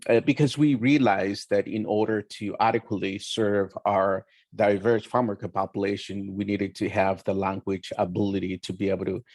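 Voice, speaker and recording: A young man from Oaxaca, Mexico, whose first language is Mixtec, giving an earnest, measured talk on a roundtable panel with frequent pauses. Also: fairly low pitch, gravelly, no echo. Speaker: community educator and roundtable panelist. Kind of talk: roundtable presentation.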